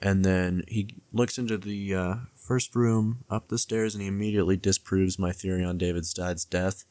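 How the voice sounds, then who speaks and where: A man with a deep voice talks through casual commentary in a fairly monotone way. He rambles and hesitates, backing up to correct himself, and the sound is dry and close. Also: moderate pace, clean tone, no room sound. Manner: hesitant, rambling, self-correcting mid-thought. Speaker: video essayist reviewing and theorizing about a horror series. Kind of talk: casual, loosely scripted commentary.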